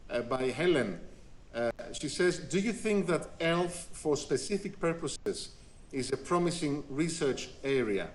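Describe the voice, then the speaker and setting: A man moderating an online panel, reading out audience questions with many fillers. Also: fairly high pitch.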